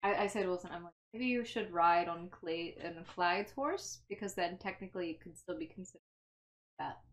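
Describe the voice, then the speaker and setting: A woman's voice: lowish voice, lively intonation, moderate pace, slight background noise. A woman playing a tabletop role-playing game, chatting casually in a playful, rambling way.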